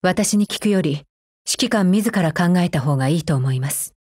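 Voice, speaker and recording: A woman's voice: on the low side, expressive, moderate pace, a little mellow, husky, no echo, studio quality. A woman playing a cold, efficiency-minded female combat android in a mobile game, speaking formally in a clipped, stern, unemotional way. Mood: matter-of-fact, stern. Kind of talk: scripted mobile game character voice lines.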